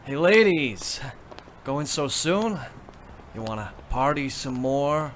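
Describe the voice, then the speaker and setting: A menacing street mugger in an audio drama, leering and forceful. The pitch swings hugely while the words come slowly. Faint street ambience sits behind. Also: male voice, big pitch swings, slight background noise.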